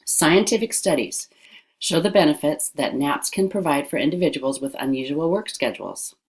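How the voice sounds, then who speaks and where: A woman with a low-pitched voice reads an informational passage aloud. She follows a script in the manner of an educational narrator. Her words are carefully and deliberately pronounced. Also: lively intonation, low-quality recording. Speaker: reader of an educational text.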